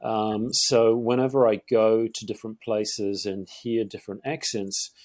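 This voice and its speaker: A middle-aged man from Northeast England with a Geordie accent softened by years in New Zealand, a podcast guest who talks in a rambling way with many fillers and restarts. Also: fairly low pitch, flat delivery, a little slow, no room sound. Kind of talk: conversational interview.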